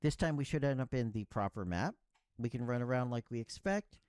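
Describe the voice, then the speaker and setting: Male tutorial instructor, medium pitch, clear step-by-step delivery, close dry sound. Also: animated, a little fast, darkish tone, no room sound. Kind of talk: step-by-step software tutorial narration.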